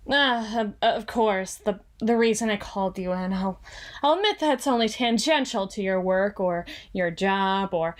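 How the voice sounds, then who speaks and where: A woman playing a frazzled, proud young female CEO in an ASMR roleplay, halting and stammering as she corrects herself. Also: mid-range voice, expressive, natural voice. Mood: flustered, defensive.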